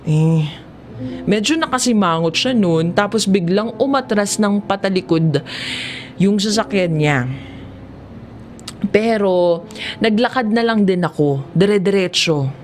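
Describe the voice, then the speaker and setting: Slow-paced Filipino woman telling a dramatic story. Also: mid-range voice, lively intonation, a little mellow, a little hiss or hum. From Philippines. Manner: conversational, acts out dialogue and inner reactions. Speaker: story narrator. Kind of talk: first-person dramatic storytelling in an audiobook manner. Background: music behind the voice.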